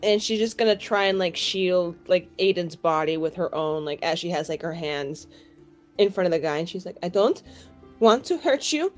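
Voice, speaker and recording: A woman's voice: on the low side, steady tone, normal speed, faint music behind. A woman playing a tabletop game, casually and tentatively describing what her character does.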